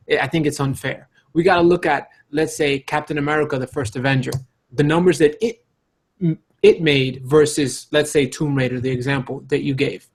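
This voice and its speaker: A man with a somewhat high, rough-edged voice debates casually as a podcast panelist. He cuts in to make his case and keeps checking that the others agree.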